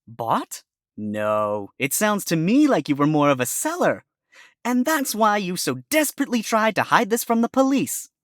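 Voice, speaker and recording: A woman voicing a sly male fox defense attorney in an animated courtroom story, sarcastic and theatrical. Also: mid-range voice, normal speed, no echo, clean studio sound, natural voice. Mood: smug, accusing. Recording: music behind the voice.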